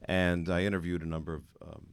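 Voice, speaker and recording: Very deep-voiced man asking from the audience. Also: little pitch movement, clean tone. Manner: halting, with many fillers. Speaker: audience member asking a question. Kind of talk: question from the floor at a panel.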